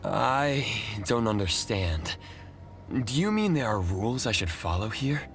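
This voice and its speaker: A man's voice: fairly low pitch, big pitch swings, a little slow, a little hiss or hum. An actor playing an earnest young male adventurer in a video game, inquisitive and often thinking aloud.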